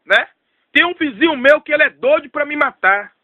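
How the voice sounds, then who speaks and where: A man's voice: very high voice, lively intonation, moderate pace, no room sound, phone-quality sound. A man, preaching an emphatic, repetitive testimony sermon. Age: 30s. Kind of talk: fervent storytelling sermon.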